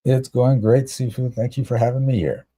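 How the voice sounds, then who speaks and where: A man's voice: mid-range voice, moderate pace, mellow, breathy edge. A man from Canada telling personal stories casually as a podcast guest. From Canada, Toronto area. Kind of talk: casual chat, personal storytelling.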